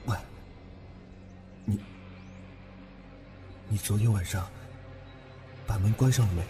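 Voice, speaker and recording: A man's voice: on the low side, slow pace, over music. An actor playing a bewildered, jumpy male college student in an audio drama, hesitant and stammering. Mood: alarmed.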